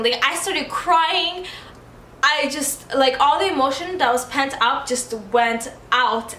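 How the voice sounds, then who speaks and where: A woman's voice: fairly high pitch, pushed and bright, a little hiss or hum. A young woman, born in Ukraine and raised in the United States, chatting casually to camera as she answers tag questions, with lots of likes and ums. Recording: echoey room.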